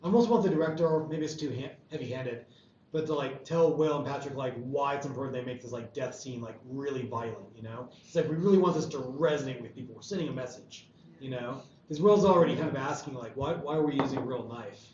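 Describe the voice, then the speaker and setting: A man in a casual group discussion, offering script suggestions in a hesitant, softened way. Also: medium pitch, fast talker. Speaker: table read participant giving script feedback. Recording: distant mic, echoey room, muffled, faint noise.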